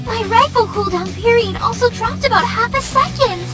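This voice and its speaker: A woman playing a polite, soft-mannered girl mech pilot in an anime-style game, a little hesitant. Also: extremely high pitch, moderate pace. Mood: polite, mild. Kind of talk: anime-style visual novel voice acting. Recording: music behind the voice.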